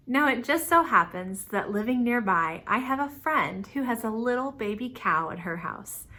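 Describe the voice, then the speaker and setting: Children's storytime host, female, bright crisp voice, expressive and plain-spoken for very young listeners. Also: fairly high pitch. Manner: simple, expressive talk addressed to young children.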